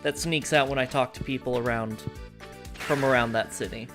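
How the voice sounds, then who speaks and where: A woman's voice: a bass voice, fairly even intonation, normal speed. A woman streamer hosting a casual chat while gaming, frank and rambling, with casual swearing. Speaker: streamer and host. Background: game music.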